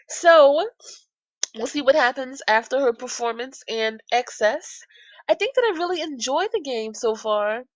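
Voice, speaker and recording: A woman's voice: on the high side, fairly bright tone, no room sound, muffled recording. A woman doing a playthrough, switching between slangy, irreverent commentary and theatrical read-aloud narration. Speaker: let's player. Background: game music behind the voice.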